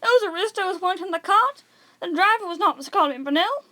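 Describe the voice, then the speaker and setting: Boastful French soldier at the city gate, played by a female reader, blustering storybook delivery, pitched high. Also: lively intonation, fast, sharp tone, heavily acted. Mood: amused. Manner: blustering, exclamatory. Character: boastful, gruff male French gate sergeant.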